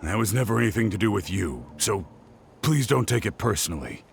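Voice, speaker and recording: An actor playing an earnest, gracious writer in a video game, polite and reflective. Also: male voice, lowish voice, big pitch swings, fairly quick, gravelly, slight background noise, exaggerated voice. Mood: reflective, hopeful. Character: earnest, gracious male writer accepting a fresh start.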